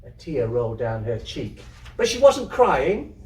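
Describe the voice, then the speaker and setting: Animated man reading a story, roomy sound. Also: medium pitch, measured pace. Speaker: reader, amateur theatre troupe member. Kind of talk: expressive live literary story reading. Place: indoor community hub event. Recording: roomy, distant mic, faint noise.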